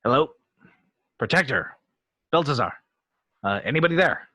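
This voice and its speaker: A husky, earnest voice of a pleading would-be captain, played by a man. He speaks at a middling pitch with a dry sound, as in a science fiction table read. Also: expressive, moderate pace, pushed and bright, no room sound. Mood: brisk. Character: earnest, pleading actor known as a starship captain. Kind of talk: amateur table read of a film script.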